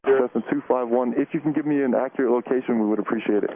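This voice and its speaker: A man's voice: medium pitch, quick pace, no echo. A man giving procedural instructions as an air traffic controller over aviation radio. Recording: tinny, narrow-band aviation radio.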